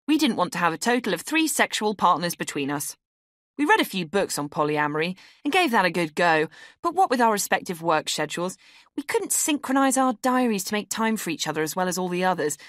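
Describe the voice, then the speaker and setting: A woman's voice: fairly low pitch, a bit bright, no echo, studio quality. A British woman voicing a brittle, falsely cheery young newsletter writer, with arch, sarcastic emphasis, for an audiobook. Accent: British English. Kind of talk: satirical audiobook monologue as a round-robin email.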